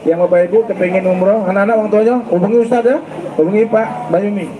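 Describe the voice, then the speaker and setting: High-voiced joking ustaz in echoey hall. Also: male voice, steady tone, fast talker, phone-quality sound, a crowd in the background. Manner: joking, quizzes the audience. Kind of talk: humorous sermon to school students. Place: school event hall. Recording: echoey hall, background noise and traffic.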